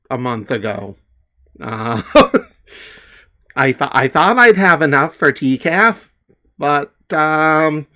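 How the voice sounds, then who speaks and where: A man's voice: mid-range voice, a bit bright, clean tone. A man, a small comics publisher, chatting in a rambling, hesitant, self-deprecating way with many fillers. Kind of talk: casual spoken commentary.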